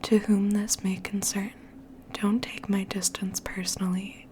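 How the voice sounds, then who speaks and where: Female ASMR reader: breathy whisper, mellow and dark, gentle and unhurried, faint room noise underneath. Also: medium pitch, little pitch movement. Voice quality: breathy, mostly unvoiced whisper. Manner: slow, gentle, hushed. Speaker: ASMR host and poetry reader. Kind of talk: whispered ASMR poetry reading with soft chat.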